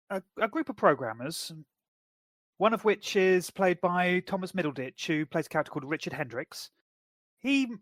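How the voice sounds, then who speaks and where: A man co-hosting a podcast, chatting casually and explaining plots in a measured way. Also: high-pitched, a bit bright, no echo. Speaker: podcast co-host. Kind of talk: casual chat.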